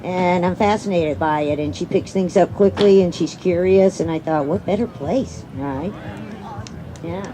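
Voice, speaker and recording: A middle-aged woman, a university teacher, answering off the cuff outdoors in a measured, explanatory way. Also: fairly low pitch, background noise. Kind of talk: unscripted panel answer. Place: outdoors at a campground.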